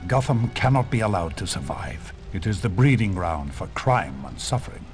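A man playing a ruthless leader of a secret order in a game cutscene, speaking in solemn, measured pronouncements over a score. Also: sits low, big pitch swings, faint music behind. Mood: cold conviction. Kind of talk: dramatic video game cutscene acting.

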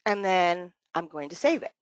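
A woman leading a training webinar, talking through software steps conversationally, thinking aloud and repeating phrases for emphasis. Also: lowish voice, expressive, normal speed, smooth, no room sound. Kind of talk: conversational step-by-step software walkthrough. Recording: dull, lo-fi dry webinar audio.

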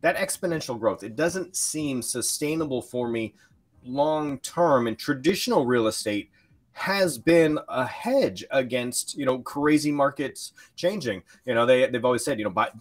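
A man's voice: medium pitch, animated, unhurried. A man in his thirties hosting an upbeat online interview, speaking close into a desk microphone. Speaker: podcast host. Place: small home studio, video call. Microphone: large close desk microphone, headset.

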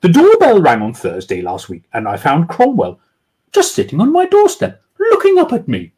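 A self-satisfied office manager of a woman, as a man plays her, brisk and a little evasive. Her voice sits well above his own and swings up and down lively, with a husky edge. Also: on the high side, voice pushed up, exaggerated voice. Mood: chatty. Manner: smug, brisk. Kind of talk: storybook reading.

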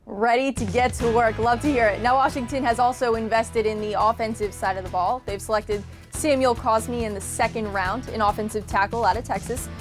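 High-voiced young woman sports anchor. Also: steady tone, brisk, few pauses, soft background music. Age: young adult. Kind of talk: scripted TV sports narration. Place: TV studio.

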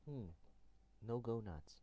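A man hosting a casual software tutorial, thinking aloud in a halting, hesitant way. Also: mid-range voice, lively intonation, dull audio. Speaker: tutorial host. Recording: noisy room, keyboard and mouse clicks. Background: typing and mouse clicking.

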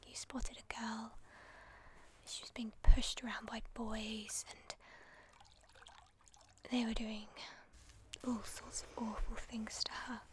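A British woman playing an obsessive, lovesick captor in an intimate whispered ASMR roleplay, breathy, cooing and emotionally fragile. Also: a little high, a little hiss or hum. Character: obsessive lovesick female captor, tender, volatile, doting on captive.

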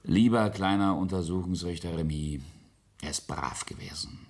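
An actor playing a mocking, menacing middle-aged industrialist in a radio play, speaking with sardonic deliberation. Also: male voice, low-pitched, gravelly, darkish tone, slightly rough, exaggerated voice. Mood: cold menace. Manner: sardonic, deliberate, with whispered drops. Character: menacing, mocking family patriarch and ambitious industrialist. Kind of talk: German radio play acting.

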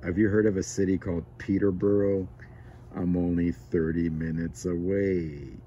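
Deep-voiced man with noisy background. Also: steady tone, moderate pace, mellow, dull audio. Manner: admiring, slangy. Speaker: amateur radio operator. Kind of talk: casual ham radio chat.

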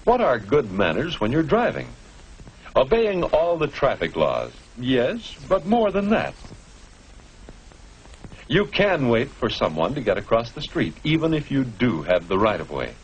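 A man's voice: medium pitch, huge pitch swings, measured pace. A man narrating a 1950s educational film in an instructive manner, putting rhetorical questions to the viewer. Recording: noisy old 1950s film soundtrack.

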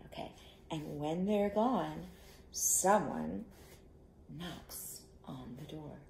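Slow-paced woman storyteller in an echoey room. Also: low voice, gravelly, slight background noise. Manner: expressive, instructive, asks listeners to join in. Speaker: children's storytime teacher. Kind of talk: interactive storytelling to young children. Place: small living room, indoors. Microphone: camera a short distance away.

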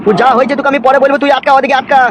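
A man's voice: very high-pitched, little pitch movement, very fast, noisy background. A man voicing a corrupt, bullying village politician, bossy and foul-mouthed, in a comic cartoon. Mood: urgent, commanding.

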